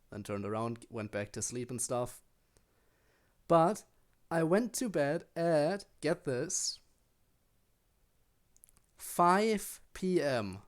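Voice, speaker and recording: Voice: medium pitch, darkish tone. A game streamer chatting casually to viewers while playing, wandering off into rambling asides.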